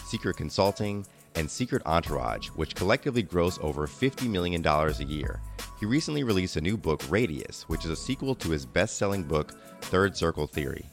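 Podcast host, male, very low voice, soft music under the intro. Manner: scripted intro, then halting questions. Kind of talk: podcast hosting and interviewing.

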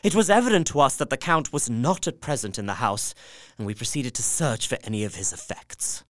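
A man narrating as a steady, earnest Victorian journal writer. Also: mid-range voice, a little fast, no echo, clean studio sound, lightly acted. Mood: tense. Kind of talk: audio drama narration.

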